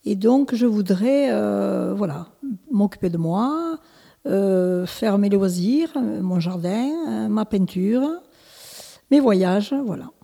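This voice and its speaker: A woman's voice: mid-range voice, lively intonation, takes it slowly, gravelly, dark tone, in a large hall. A woman in her seventies, born in Italy, answering interview questions as a village mayor, hesitant with many restarts and fillers. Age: 70s. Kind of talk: local interview.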